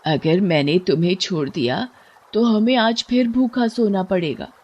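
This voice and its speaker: A woman's voice: mid-range voice, a little slow, darkish tone, husky, dull audio. A woman playing a poor, devout, kind-hearted older fisherwoman in a moral story, speaking earnestly. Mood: torn, compassionate. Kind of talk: moral storybook cartoon voice acting.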